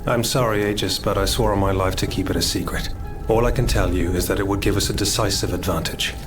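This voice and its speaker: Grave, deep-voiced noble general played by a man. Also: steady tone, fast talker, natural voice. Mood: grave, worried. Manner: grave, weary, formal. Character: grief-stricken noble French revolutionary general, grave and honourable. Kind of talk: video game voice acting. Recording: slightly distant, loud music behind.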